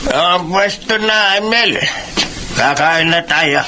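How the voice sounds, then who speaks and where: A man playing a gentle, reassuring elderly Filipino grandfather in a family drama, with a gravelly, halting delivery. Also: high-pitched, background noise. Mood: warm, homely. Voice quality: creaky, gravelly. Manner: slow, halting, with sighs and filler sounds.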